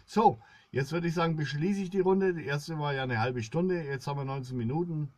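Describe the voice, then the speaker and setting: A middle-aged man rambling to his viewers in a solemn, halting monologue, recorded indoors at about arm's length. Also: on the high side, a little mellow, no echo, soft background music. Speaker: blogger, solo video host.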